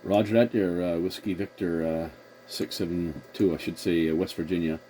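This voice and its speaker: A man's voice: deep voice, steady tone, moderate pace, dark tone. A man hosting a casual CB radio conversation, using radio call procedure and rephrasing as he goes, on a noisy recording. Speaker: CB radio operator and video host.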